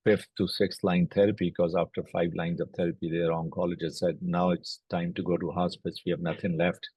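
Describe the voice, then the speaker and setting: A middle-aged man, a native Urdu speaker, explaining medical cases in a measured, step-by-step way during a recorded interview. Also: low-pitched, level tone, darkish tone, husky, no room sound, poor audio. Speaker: oncologist and platform co-founder.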